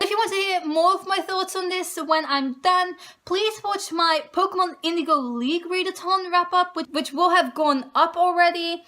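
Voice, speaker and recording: Very high, bright-voiced woman chatting. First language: Dutch. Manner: chatty, self-correcting, eager. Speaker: book vlogger. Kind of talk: casual book-list chat to camera.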